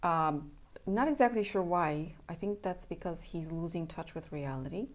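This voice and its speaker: A woman's voice: fairly low pitch, lively intonation, moderate pace. A young adult woman from Romania, a native Romanian speaker, talking as a somatic coach in an earnest, plainspoken casual announcement. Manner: earnest, plainspoken, checks in with "okay?".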